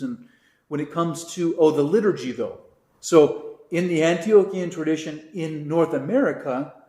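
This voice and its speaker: A man who is a priest, teaching a catechism class in a relaxed, chatty way with many asides. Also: mid-range voice. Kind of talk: informal class talk. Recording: roomy echo.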